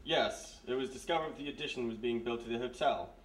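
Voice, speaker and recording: A man with a British accent playing a dry, genteel gentleman in a radio mystery. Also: mid-range voice, fairly quick, lightly acted. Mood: dry, informative. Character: dry, well-bred British male gentleman companion. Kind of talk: live 1940s-style radio mystery acting. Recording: noisy echoey hall, distant stage mic.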